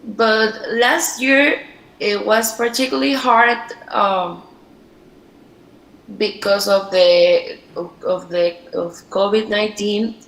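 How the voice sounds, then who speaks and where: A woman from Panama with a Spanish accent, giving a halting online talk as a storyteller. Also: mid-range voice, fairly bright tone, reverberant, rough recording. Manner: slow, halting, many fillers. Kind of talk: online presentation. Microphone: video call.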